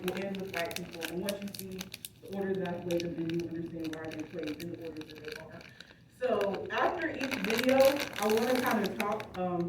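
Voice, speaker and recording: Woman lecturing in an echoey classroom. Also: medium pitch. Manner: explanatory, often checks that students understand. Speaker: college instructor. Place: college classroom. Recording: echoey classroom, distant phone recording, background noise.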